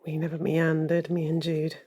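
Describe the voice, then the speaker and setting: Yearning woman, audio drama, low-pitched, soft dark tone, breathy, earnest, intimate. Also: monotone, moderate pace. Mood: pleading. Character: earnest, lovelorn woman pleading for her love. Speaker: actor.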